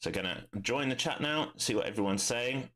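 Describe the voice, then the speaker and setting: A man with a nasal, bunged-up voice from a cold, giving brisk live commentary on the markets and thinking aloud, often tagging his lines with "all right?". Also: mid-range voice, expressive, a bit bright, faint music behind. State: congested with a cold. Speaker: day trader streaming live market analysis.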